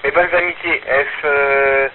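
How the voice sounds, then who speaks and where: A man's voice: fairly high pitch, fairly even intonation, slow. A man signing off as an amateur radio operator, heard through a two-way radio. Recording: tinny, noisy two-way radio link.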